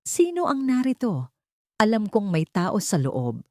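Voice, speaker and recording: A woman's voice: mid-range voice, huge pitch swings, measured pace, dark tone, no echo, studio quality, voice pushed up. A woman with a Filipino accent voicing a cold, suspicious rich villainess in an audiobook drama. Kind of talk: audiobook character dialogue.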